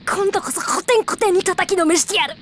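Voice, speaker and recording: An actress playing a brash, hot-tempered female fighter in an audio drama, rough and blustering in her talk. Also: very high-pitched, fast talker, a little hiss or hum. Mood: grumbling. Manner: rough, foul-mouthed, blustering. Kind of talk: Japanese audio drama acting. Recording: loud music under the voice.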